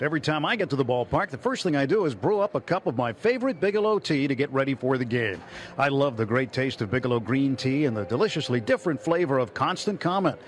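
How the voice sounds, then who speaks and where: A man's voice: a little high, a little hiss or hum. A man working as a radio sports broadcaster, speaking in a scripted announcing style.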